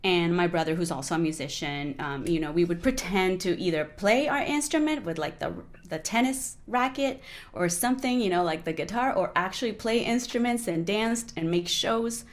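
A woman's voice: on the low side, moderate pace. A Colombian woman in her forties, a native Spanish speaker, chatting in an interview with many fillers like "you know". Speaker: guest musician. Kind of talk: casual interview conversation.

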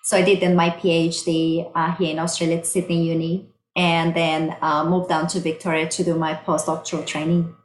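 A woman's voice: on the low side, level tone, moderate pace, few pauses, slight background noise. A middle-aged woman from Brazil with a Brazilian accent, explaining her research plainly as an interview guest. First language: Portuguese. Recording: roomy, echoey sound.

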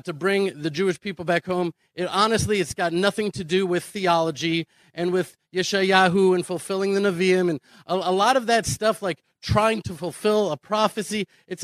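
A man's voice: high voice, a bit bright, dry recording. An American man from Houston giving an impassioned conference speech, earnest and emphatic, repeating phrases and posing rhetorical questions. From Houston, Texas, United States. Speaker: speaker advocating immigration to Israel.

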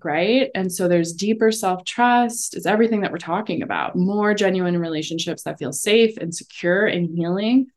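A woman speaking as an astrologer guest in a relaxed, reflective interview, often filling with "like". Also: on the low side. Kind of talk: casual conversational interview.

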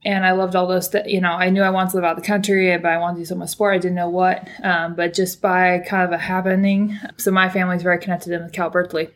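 A woman's voice: fairly low pitch, little pitch movement, fast, hardly any pauses. A young woman from California, a PhD student, chatting casually as a podcast guest, rambling with many fillers and restarts. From California, United States. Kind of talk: casual interview chat.

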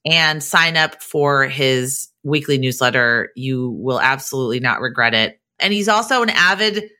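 A middle-aged American woman with a low-set voice and a bright, forward edge. She speaks as an upbeat podcast host, articulate and encouraging. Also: expressive, normal speed.

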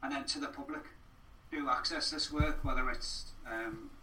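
Guy being interviewed, really sharp bright voice, earnest, bit far from the mic w faint noise behind. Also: mid-range voice, fairly even intonation, normal speed, echoey room. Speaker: podcast guest. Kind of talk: conversational interview.

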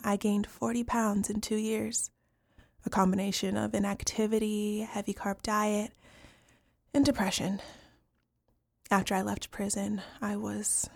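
Breathy, creaky, lonely woman inmate. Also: mid-range voice, darkish tone, no echo, studio quality. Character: lonely, ashamed repeat inmate battling addiction. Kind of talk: confessional audio play monologue.

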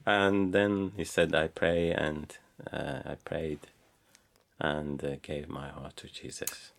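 A middle-aged man, a Farsi speaker, telling his own story in a halting way with many fillers and restarts. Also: extremely low pitch, level tone, vocal fry. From Iran. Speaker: pastor and teacher, guest.

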